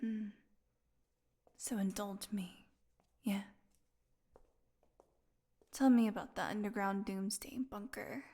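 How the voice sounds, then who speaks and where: A woman playing a weakened, hungry female vampire in an intimate audio roleplay, sarcastic and teasing, with a breathy voice that often drops into a whisper. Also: mid-range voice, steady tone, mellow. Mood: weary, teasing. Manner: sarcastic, breathy murmurs, drawn-out weary delivery.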